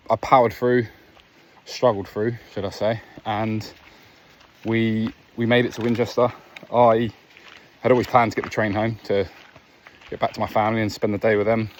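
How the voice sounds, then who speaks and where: Earnest low-voiced man walking outdoors. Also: a little hiss or hum. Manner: earnest, reflective, with restarts. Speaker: vlogger. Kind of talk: casual motivational talk while walking. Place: outdoors on an evening walk. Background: faint outdoor ambience, a dog.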